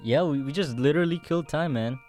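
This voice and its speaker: A man's voice: fairly high pitch, quick pace, darkish tone. A young man from the Philippines co-hosting a podcast, bantering casually with a friend in a laid-back, joking, slangy way. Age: young adult. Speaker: podcast co-host. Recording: music in the background.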